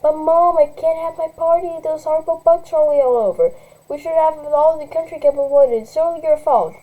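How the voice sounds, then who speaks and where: A woman's voice: high-pitched, a little fast, mellow, pitched above the performer's own voice, close to an everyday voice, low-quality recording. A woman doing a snobby spoiled teen girl. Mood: whining. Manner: bratty, complaining.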